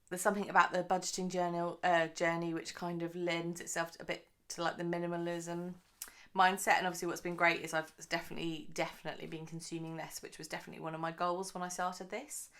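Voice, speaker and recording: A woman hosting her own budgeting vlog, a little croaky from the early morning, chatting in a rambling way with lots of fillers. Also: fairly low pitch, flat delivery, a little fast, a bit bright. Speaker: budgeting channel host.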